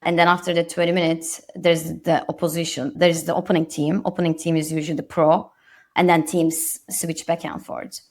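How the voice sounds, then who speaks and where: A woman's voice: low voice, flat delivery, normal speed, darkish tone. A woman in her thirties from Turkey with a Turkish accent, a guest on a podcast interview, speaking reflectively and modestly with frequent restarts.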